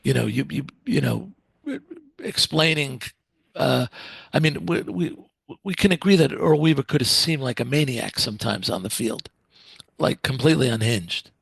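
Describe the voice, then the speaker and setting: Male podcast host, gravelly and husky, hesitant questions, dry sound. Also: medium pitch, lively intonation, normal speed, a bit bright, dry recording. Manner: hesitant, repeats words. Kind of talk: podcast interview.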